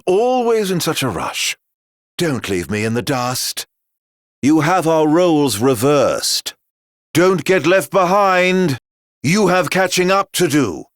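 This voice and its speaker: An actor voicing a sly, mock-courteous villain in a video game, with a florid, teasing, theatrical drawl. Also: male voice, fairly high pitch, no room sound, clean studio sound. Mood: goading.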